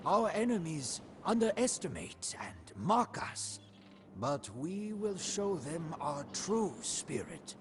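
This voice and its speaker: An actor playing a proud, devoted commander of a royal guard, narrating a game's storybook in a solemn, deliberate manner. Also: male voice, a little high, big pitch swings, background noise. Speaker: narrator of a game campaign story. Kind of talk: scripted storybook narration in character. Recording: music behind the voice.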